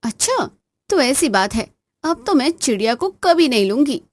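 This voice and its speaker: A woman's voice: sits high, very animated, normal speed, no echo. A woman voicing a proud, vain queen in a moral-story cartoon, haughty and emphatic. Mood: indignant.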